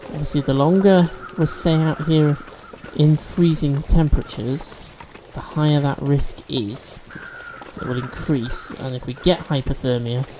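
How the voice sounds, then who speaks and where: A man's voice: a little high, fairly even intonation, a little slow, noisy background. A man hosting a let's-play video, chatting and explaining game mechanics as he plays, a bit sniffly from allergies. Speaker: gaming video host.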